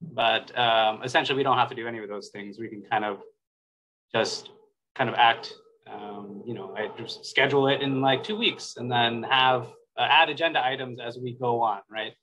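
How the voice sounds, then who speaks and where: Bright-voiced casual man on dull call audio. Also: mid-range voice, steady tone. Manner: casual, loose, halting. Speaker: board member. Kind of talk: informal remarks in a meeting. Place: indoors, on a video call. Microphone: video call.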